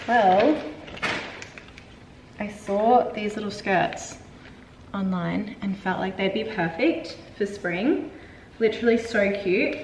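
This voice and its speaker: A chatty Australian woman in her twenties vlogging casually to camera with a croaky voice, sometimes dropping to a soft whisper. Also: mid-range voice, background noise. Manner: chatty, bubbly, often drops to a soft whisper. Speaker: lifestyle vlogger. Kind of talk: casual vlog chat to camera. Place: bright open-plan apartment, indoors.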